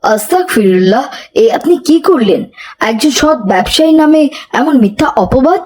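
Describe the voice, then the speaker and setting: A woman's voice: high voice, animated, moderate pace, a little mellow. A woman voicing an earnest, righteous and polite young boy in a cartoon. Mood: reproachful. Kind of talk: cartoon voice acting.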